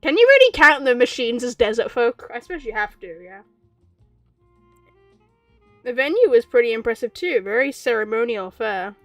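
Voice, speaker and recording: A woman with a high voice gives chatty livestream commentary on a game. She is playful and quick to react, with faint music in the background. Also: very animated, a bit bright. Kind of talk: casual chatty gameplay commentary.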